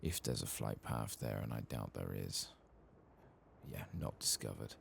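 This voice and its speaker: A soft-spoken man with a husky, gravelly voice, murmuring unhurriedly as he talks through a video game in ASMR style. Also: extremely low pitch, a little slow, slight background noise.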